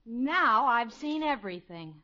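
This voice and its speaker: A woman's voice: fairly high pitch, expressive, unhurried, pushed and bright, a little hiss or hum. A woman playing a concerned, amused young niece in a 1950s radio comedy. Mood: surprised, amused. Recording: muffled old 1950s radio recording.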